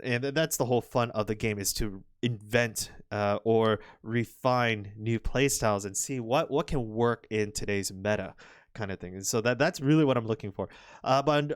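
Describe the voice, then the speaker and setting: A young man commenting on a Go match, chatty and rambling, his voice a little hoarse from overuse. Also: mid-range voice, no room sound, clean studio sound. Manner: chatty, rambling, frequent restarts and fillers. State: slightly hoarse, recovering voice. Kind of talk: casual game commentary.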